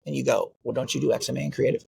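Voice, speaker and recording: A man's voice: mid-range voice, steady tone, rapid-fire, creaky, darkish tone, husky. A man who runs a martial arts school, talking earnestly in an interview and often tagging his points with "right?". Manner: earnest, repeats "right?" as a tag. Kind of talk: interview conversation.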